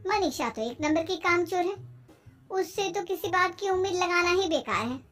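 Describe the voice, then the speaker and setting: High-voiced woman narrating a cartoon story. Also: expressive, moderate pace, fairly bright tone, faint music behind.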